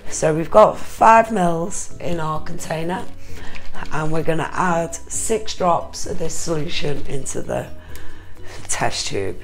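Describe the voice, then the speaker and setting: Hoarse low-voiced woman with a cold. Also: takes it slowly, soft background music, rough recording. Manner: chatty, explains step by step while counting drops. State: hoarse, losing her voice with a cold. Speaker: aquarium hobbyist vlogger. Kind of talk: casual tutorial demonstration.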